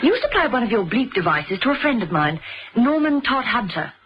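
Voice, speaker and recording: An actress playing a poised, persistent English lady investigator in a radio drama, husky and breathy, asking polite, pointed questions. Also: a little high, big pitch swings, a little fast, a bit bright, slight background noise, low-quality recording. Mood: probing.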